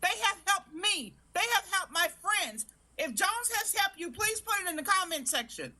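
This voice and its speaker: A woman on a live-stream panel, lecturing in a sharp, sarcastic heated debate. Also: very high-pitched, big pitch swings, normal speed.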